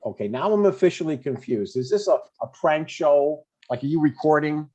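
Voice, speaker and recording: Man playing husky, blunt older dad, muffled audio. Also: a little high, moderate pace, a little mellow, close to an everyday voice. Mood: annoyed, confused. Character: blunt, easily annoyed older dad, awkwardly caring. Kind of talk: informal comedy table read.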